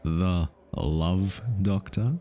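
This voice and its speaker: A man plays a hitman undercover among high society in an ASMR roleplay. His voice is low and he takes his time over his words. He is pretentious and archly courteous, teasing with polished politeness while being easily flustered underneath. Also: steady tone, dark tone, exaggerated voice. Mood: teasing, mocking. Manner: arch, teasing, theatrically polite with halting hesitations. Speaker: voice actor.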